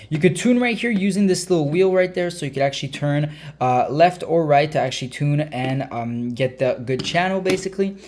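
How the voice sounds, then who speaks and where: A man giving a casual hands-on product review as a video host, explaining informally with frequent hesitant uh pauses. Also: mid-range voice, a little mellow.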